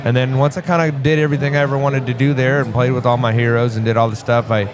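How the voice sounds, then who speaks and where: A man in his forties talks at an ordinary pitch for a man, easygoing and full of small restarts as he tells his story. He was born in Vietnam and raised in the US Midwest. A lively crowd carries on clearly behind him outdoors. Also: fast. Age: 40s. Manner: relaxed, rambling, many restarts and fillers. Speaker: guest musician. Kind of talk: casual interview chat.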